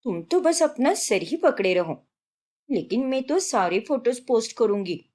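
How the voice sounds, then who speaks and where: A woman's voice: on the high side, animated, a little slow, darkish tone. A woman voicing a showy, boastful young newlywed daughter-in-law in a story, insistent and bragging, dropping in English words. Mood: indignant, boastful.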